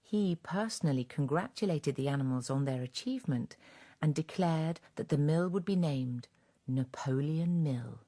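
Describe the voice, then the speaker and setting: A woman narrating a radio drama in a measured, scripted storytelling manner. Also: deep voice, lively intonation, dark tone.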